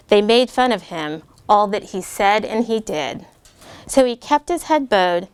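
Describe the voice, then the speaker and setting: A middle-aged woman reading a rhyming children's book aloud to a class of schoolchildren, pausing to ask the kids questions. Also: medium pitch, smooth. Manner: expressive rhyming read-aloud with asides to kids. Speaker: guest reader and parent.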